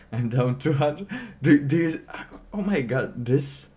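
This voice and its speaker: A man doing casual livestream commentary, reading aloud and reacting in a halting, sardonic way, often repeating his phrases. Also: medium pitch, expressive, darkish tone. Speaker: livestream host.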